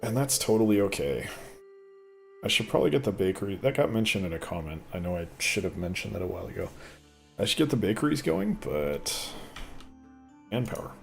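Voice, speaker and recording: A man rambling casually while commentating on a gameplay video, with a voice he says sounds deeper since an illness. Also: very animated, brisk, gravelly, long pauses, faint music behind. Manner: rambling, chatty, thinks aloud. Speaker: gaming video host. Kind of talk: casual let's play commentary.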